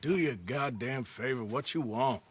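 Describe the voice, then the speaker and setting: An actor playing a gruff, sardonic outlaw gunslinger in a Western video game, talking in a dry drawl. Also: male voice, mid-range voice, unhurried. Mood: exasperated. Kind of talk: video game cutscene acting, Western drama.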